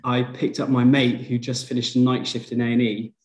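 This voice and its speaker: A man's voice: mid-range voice, level tone, fairly quick, darkish tone. Chatty self-deprecating man, roomy sound. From Liverpool, England. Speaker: podcast guest, GP. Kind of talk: casual conversation. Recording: roomy echo.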